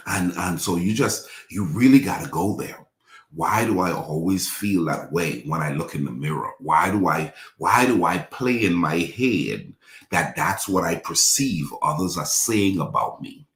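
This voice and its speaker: A man's voice: low voice, expressive, unhurried, fairly bright tone, echoey room. A man in his forties preaching a sermon, repeating his points and leading the congregation in affirmations. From Bahamas. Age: 40s. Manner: repetitive call-and-response preaching, leads congregation in affirmations. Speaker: pastor.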